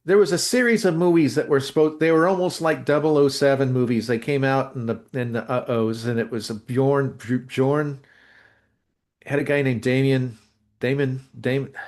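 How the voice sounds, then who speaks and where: A man's voice: mid-range voice, steady tone, moderate pace, a little mellow. A man co-hosting a casual podcast, rambling and blunt, often searching for words mid-sentence. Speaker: co-host, musician.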